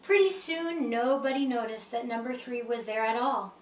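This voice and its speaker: A woman reading a children's picture book aloud in an expressive storytelling style. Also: fairly high pitch, normal speed. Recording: roomy echo, distant mic, faint noise.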